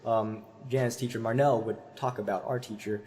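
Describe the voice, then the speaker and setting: A man, a voice teacher on a video call through a headset, explaining singing technique with many fillers and pauses. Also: on the low side, fairly even intonation, noisy background. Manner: thoughtful, hesitant with many fillers, demonstrates sung vowels.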